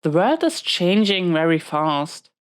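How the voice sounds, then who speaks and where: A low, smooth-voiced female villain behind bars, acted by a woman, speaking slowly in an intimate audio roleplay. She is wounded and weary, confiding in halting, reflective phrases. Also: big pitch swings. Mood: reflective, matter-of-fact. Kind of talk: slow intimate audio roleplay monologue to a listener.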